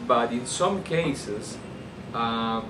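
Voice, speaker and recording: A man with a Colombian Spanish accent gives unscripted interview answers as a surgeon. His pitch is average and his phrasing halts and repeats. He sounds set back from the microphone in a roomy space, with air-conditioning noise behind him. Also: drawn-out words. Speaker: orthopedic surgeon being interviewed. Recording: roomy, distant mic, air-conditioner hum.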